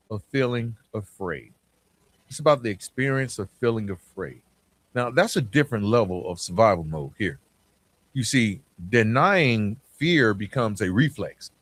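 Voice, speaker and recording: A man's voice: mid-range voice, fairly bright tone. A man hosting a podcast, talking conversationally and motivationally in a reflective, emphatic way, often checking in with the listener. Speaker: podcast host.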